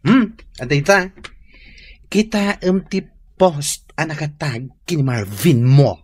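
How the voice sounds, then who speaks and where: A woman with a Filipino accent playing an excitable, gossipy young friend in a radio drama. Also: deep voice, takes it slowly, husky, no echo, heavily acted. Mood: agitated. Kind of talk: Ilocano radio drama acting.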